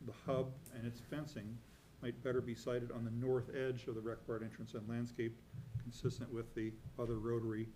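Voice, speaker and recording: A man's voice: medium pitch, flat delivery, mellow, slight background noise, poor audio. Man proposing edits at a town meeting. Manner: deliberate, reads proposed wording aloud. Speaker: selectboard member. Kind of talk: public meeting remarks.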